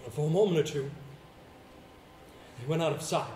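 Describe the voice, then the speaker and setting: A man acting in an amateur stage play as an earnest actor, speaking in a theatrical, measured way with dramatic pauses. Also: fairly high pitch, mellow, lightly acted. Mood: wistful, measured. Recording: echoey stage recording, faint noise.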